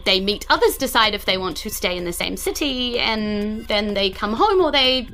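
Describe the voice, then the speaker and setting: A woman's voice: medium pitch, lively intonation, moderate pace, a bit bright, slight background noise. A woman playing an anxious, hesitant town official and worried mother in a tabletop fantasy game, often repeating her words.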